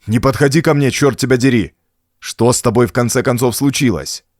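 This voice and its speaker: A man's voice: medium pitch, fairly quick, a little mellow, no echo, studio quality, lightly acted. A man voicing a tough, wary adventure hero in an audiobook, speaking bluntly and challengingly. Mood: angry alarm.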